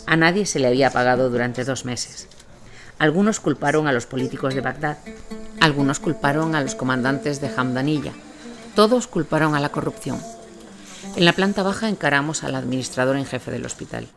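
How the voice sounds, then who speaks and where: A man reading scripted documentary narration as the narrator. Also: medium pitch. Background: music under the voice.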